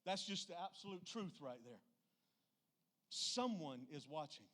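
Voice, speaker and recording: A man's voice: a little high, sing-song, unhurried, pushed and bright. A middle-aged man preaching an informal sermon, emphatic and folksy, often putting rhetorical questions to his listeners.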